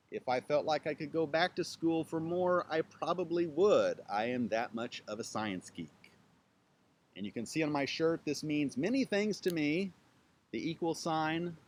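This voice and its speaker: A chatty man introduces himself to camera from outdoors, his pitch swinging up and down as he talks. He has a playful, joking way of speaking and spells out words slowly, one letter at a time. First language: English. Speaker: YouTube channel host. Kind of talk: casual self-introduction to camera. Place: outdoors with trees behind.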